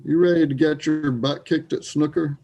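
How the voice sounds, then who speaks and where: A man playing a wry, troubled, grieving Canadian sports fan in a readers theater piece, with a gravelly voice. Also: on the high side, steady tone, moderate pace, dark tone. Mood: wry, teasing.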